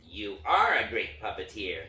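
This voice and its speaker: Man doing a bright, perky puppet captain. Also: fairly low pitch, very animated, a little slow, exaggerated voice. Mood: perky, teasing. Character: chipper puppet captain cheering up his puppeteer. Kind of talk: staged play reading. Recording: roomy, distant mic, faint noise.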